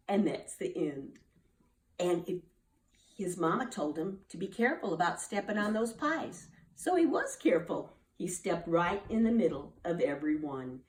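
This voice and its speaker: A woman telling a children's story aloud in an animated, rhythmic way, with repeated phrases and dramatic pauses. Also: on the low side. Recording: echoey room, distant from mic.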